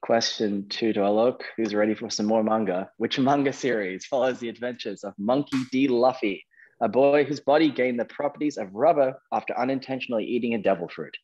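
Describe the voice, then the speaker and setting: A man's voice: mid-range voice, brisk, a little mellow, dull audio. A man hosting a quiz, reading questions and ruling on answers. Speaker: quizmaster, host.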